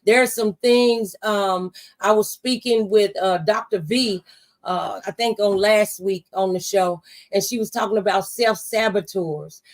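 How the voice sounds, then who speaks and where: A woman's voice: mid-range voice, unhurried. A woman radio host talking conversationally in a preacherly, testifying way, offering faith-based encouragement. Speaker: radio talk show host.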